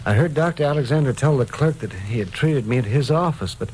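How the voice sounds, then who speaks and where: A man's voice: mid-range voice, lively intonation, brisk, darkish tone, close to an everyday voice. Actor as timid, desperate man, noisy old radio. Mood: subdued recounting. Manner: hesitant, stammering, pleading. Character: timid, desperate male fraud victim. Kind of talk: old-time radio crime drama acting.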